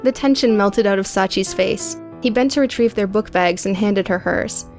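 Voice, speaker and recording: A woman's voice: medium pitch, normal speed, darkish tone, faint music behind. A woman reading dramatic scripted narration as an audiobook narrator.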